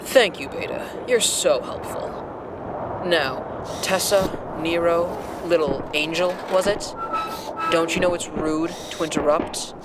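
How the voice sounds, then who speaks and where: A woman's voice: on the low side, slow, very noisy. An actor playing a cold, taunting female villain machine ruler in a sci-fi radio drama. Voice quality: mocking, taunting. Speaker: Nova Lord villain. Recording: loud music score behind.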